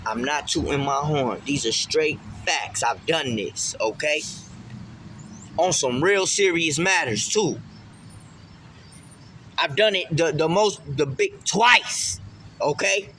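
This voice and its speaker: Male vlogger, slightly high and forceful, emphatic street-slang delivery in a casual faith monologue, faint ambient noise behind. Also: slow, long pauses. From Puerto Rican heritage. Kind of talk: casual spiritual vlog monologue.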